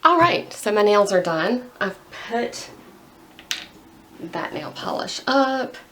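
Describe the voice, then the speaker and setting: A woman's voice: medium pitch, very animated, takes it slowly, fairly bright tone, slight background noise. A young woman chatting casually while painting her nails, rambling and full of "like". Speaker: beauty vlogger.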